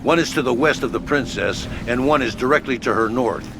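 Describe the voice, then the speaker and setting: An actor playing a gruff, blunt headquarters officer in a video game, giving clipped, commanding mission updates. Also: male voice, medium pitch, a little fast, background noise, lightly acted. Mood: brisk, businesslike. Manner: clipped, commanding military radio talk. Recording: loud game music behind.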